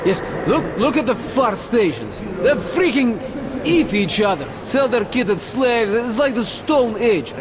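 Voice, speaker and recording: An actor playing a talkative, falsely friendly military major in a video game, with a Russian accent and expressive, chatty delivery. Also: male voice, very high-pitched, measured pace, a crowd in the background, exaggerated voice. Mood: earnest, opinionated. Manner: chatty, expressive, peppering speech with foreign exclamations. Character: boisterous, talkative Red Line army major, falsely friendly.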